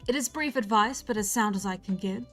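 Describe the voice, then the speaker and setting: A woman's voice: mid-range voice, a little fast, fairly bright tone, faint music behind, close to an everyday voice. A woman voicing a scornful young witchy wife in a storybook, haughty, mocking and threatening. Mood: cool disdain. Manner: haughty, mock-malignant.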